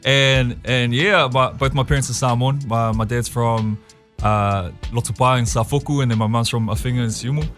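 A man's voice: mid-range voice, fairly even intonation, moderate pace, a bit bright, soft background music. Laid-back New Zealand man, radio guest. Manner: easygoing, chuckling often, with many fillers and restarts. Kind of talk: casual radio interview. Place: radio studio.

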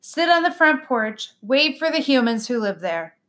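A woman's voice: high voice, very animated, moderate pace, fairly bright tone, voice pushed up. A woman voicing an earnest male dog, the protective brother, pleading in an urgent way.

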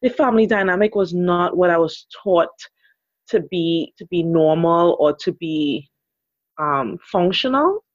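A woman's voice: lowish voice, lively intonation, a little slow, dry recording, muffled recording. A woman in her thirties from Trinidad and Tobago with a Trinidadian accent, speaking as a guest relationship educator in a thoughtful interview conversation.

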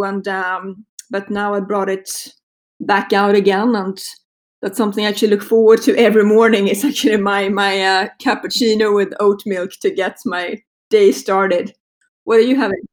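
A woman in her fifties from Sweden with a Swedish accent, a marketing executive telling her story in a relaxed interview, full of fillers and restarts. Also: mid-range voice, normal speed. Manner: hesitant, many fillers and restarts. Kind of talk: casual interview talk.

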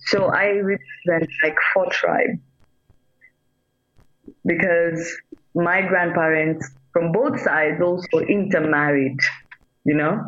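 A woman's voice: lowish voice, fairly even intonation, takes it slowly, pauses often, fairly bright tone, lo-fi sound. A woman from Kenya, a reggae singer, talking earnestly about her music in a casual radio interview, in short repeated phrases. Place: radio call-in.

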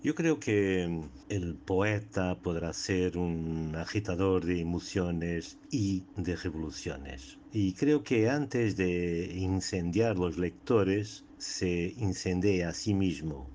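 A male poet with a Portuguese accent and Portuguese as his native language speaks reflectively and reads verse. His voice is deep and dark, and he takes his time over each phrase in a measured way. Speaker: guest poet. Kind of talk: reflective talk and poetry reading. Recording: clearly noisy background.

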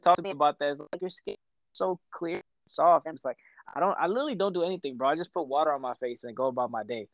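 A young man in his twenties, born in Ethiopia, talks in a relaxed, slangy way and laughs easily. His voice sits slightly high. The sound is dry and close, with a muffled, dull quality. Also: fast, no echo. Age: 20s. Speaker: podcast guest, musician. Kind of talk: casual chat.

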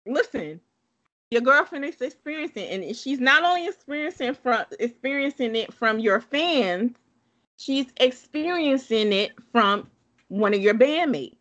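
A woman's voice: high voice, expressive, measured pace, a bit bright, highs cut off. A woman co-hosting a casual book discussion, talking emphatically and bluntly, often repeating herself to drive a point home.

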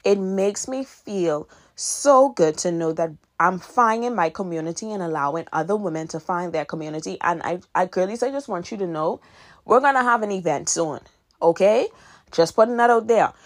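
A woman's voice: lowish voice, normal speed. A woman in her twenties hosting a podcast, giving earnest advice in a casual monologue and repeating her key points for emphasis. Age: 20s. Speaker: podcast host and author.

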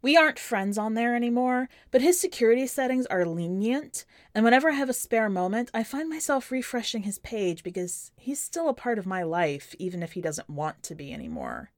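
A woman's voice: mid-range voice, very animated, moderate pace, no room sound, clean studio sound. A woman hosting a podcast and reading a first-person short story in a wry, conversational and emphatic way. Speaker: podcast host and story reader.

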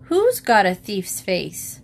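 A woman's voice: mid-range voice, huge pitch swings, takes it slowly, creaky, a little hiss or hum, full character voice. A woman voicing a playful young girl who mishears words, sing-song and teasing.

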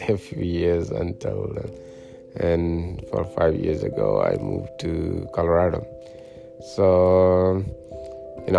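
A man's voice: sits low, vocal fry. A middle-aged man from Pakistan telling a casual personal story about learning to design and print t-shirts, hesitant with many fillers and restarts. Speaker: hobbyist sharing his t-shirt printing journey.

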